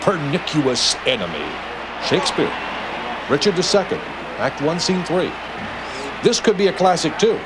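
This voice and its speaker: A man's voice: a little high, sing-song, normal speed, pauses often. A man reciting verse in a grandiose, declamatory, theatrical manner. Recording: very noisy outdoor field recording.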